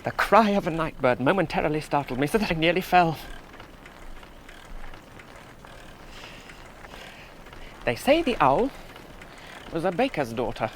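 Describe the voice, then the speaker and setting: Animated, nervous young British officer. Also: male voice, a little high, fairly quick, a little hiss or hum, low-quality recording. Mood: startled, self-reassuring. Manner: earnest, precise, musing, self-reassuring. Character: young British army officer, earnest, nervous, rational cyclist. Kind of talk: 1970s radio play monologue.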